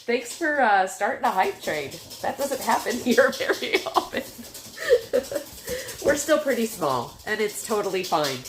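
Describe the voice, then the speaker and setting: A woman's voice: mid-range voice, very animated, a little slow, slight background noise. A woman in her fifties chatting casually as a livestream host, rambling with many fillers. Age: 50s. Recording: echoey room with background music.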